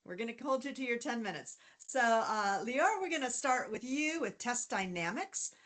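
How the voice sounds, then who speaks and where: A woman hosting an online pitch meeting, welcoming panelists in an upbeat manner. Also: lively intonation, moderate pace, a bit bright. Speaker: meeting host.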